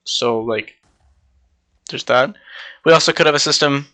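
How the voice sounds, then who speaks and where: A man's voice: medium pitch, measured pace, bright, forceful tone. A young man casually walking through a game build, rambling with many ums and sounding slightly congested. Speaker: Minecraft server player. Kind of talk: casual gameplay walkthrough.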